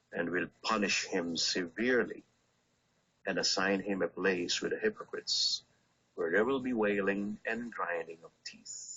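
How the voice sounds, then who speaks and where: A priest speaks in a bright, forceful voice with a husky edge. He delivers his radio homily deliberately, urging the listener on. The sound is a roomy, lo-fi broadcast recording. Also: fairly low pitch. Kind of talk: scripture reading and short radio homily. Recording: dull lo-fi radio aircheck, roomy.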